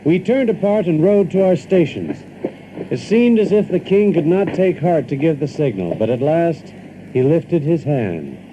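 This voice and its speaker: An actor playing a boastful, excitable Yankee adventurer who tells his own tale theatrically in an audiobook drama. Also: on the high side, unhurried, slight background noise. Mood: tense, dramatic. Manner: theatrical, animated, with chuckles. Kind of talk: dramatized audiobook first-person narration. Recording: dull lo-fi audio with loud music.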